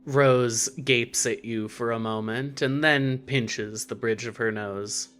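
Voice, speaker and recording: Measured male story narrator, middle-pitched. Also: fairly even intonation, a bit bright, smooth, faint music behind. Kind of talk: scripted second-person fiction narration.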